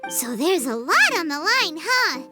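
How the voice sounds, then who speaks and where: A woman voicing a tiny, excitable fairy girl sidekick with a childlike, exclamatory manner in an anime game dub. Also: extremely high pitch, measured pace, heavily acted. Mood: curious. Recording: music behind the voice.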